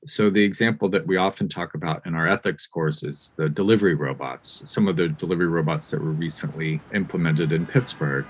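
Deep-voiced American man explaining thoughtfully. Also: fairly quick, hardly any pauses, husky. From United States. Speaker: academic researcher and interview guest. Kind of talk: explanatory interview answers.

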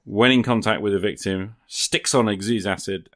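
Low-pitched man in casual game chat. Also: moderate pace, a bit bright, no echo, clean studio sound. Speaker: tabletop role-playing game player and podcaster.

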